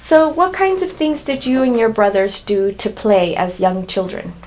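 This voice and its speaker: A woman conducting an oral history interview, asking questions and answering with short, gentle acknowledgements. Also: on the high side, big pitch swings, normal speed, a little hiss or hum, rough recording.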